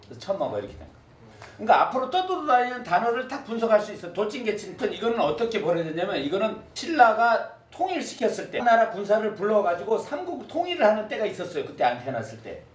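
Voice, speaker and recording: Animated man lecturing in an echoey room. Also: high voice, fast talker, recorded from a distance. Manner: lively, repeats words for students to echo. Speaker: teacher. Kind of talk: classroom lecture.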